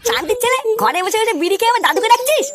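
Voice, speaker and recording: A man's voice: very high voice, lively intonation, racing, background noise. A man voicing a hot-tempered, crude father in a comic cartoon dub, scolding with exclamations. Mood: outraged.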